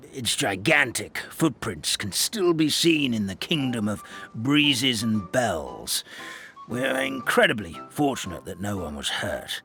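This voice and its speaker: An actor playing an old, forgetful paper creature who acts as a gentle, fretful elder, rambling in a dramatic grandfatherly way. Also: deep voice, exaggerated voice. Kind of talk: video game dub acting.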